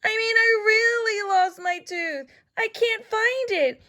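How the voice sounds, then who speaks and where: A woman voicing an excitable, worried young boy in a children's storybook, exclaiming dramatically. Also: very high-pitched, slow, voice pushed up, heavily acted. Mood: upset, wailing. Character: excitable young boy, worried over his lost tooth. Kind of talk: children's storybook read-aloud.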